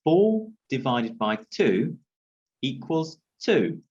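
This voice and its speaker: Man from the uk, very slow, sing-song pitch, dull lo-fi recording. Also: sing-song, darkish tone. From United Kingdom. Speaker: English teacher. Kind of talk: slow teaching chat. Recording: dull lo-fi sound.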